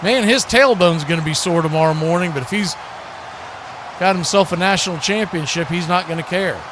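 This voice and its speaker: A man with an American accent doing excitable live play-by-play commentary of American football. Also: high-pitched, fairly quick. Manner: excitable, calling action as it happens. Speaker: sports play-by-play announcer. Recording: clear background noise.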